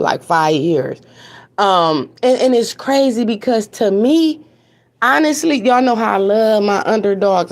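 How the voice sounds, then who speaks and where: A woman hosting an online talk show, hoarse and raspy from a cold with a stuffy nose, chatting casually and repeating herself to her viewers. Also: medium pitch, a little mellow. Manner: chatty, repeats phrases, talks straight to viewers. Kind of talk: casual livestream chat. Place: home with TVs on in the background.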